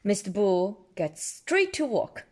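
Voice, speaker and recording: A woman storyteller reading children's picture books aloud in an expressive, deliberate way, adding her own small asides. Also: medium pitch. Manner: expressive, deliberate delivery for toddlers. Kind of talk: children's read-aloud narration with ad-libbed asides.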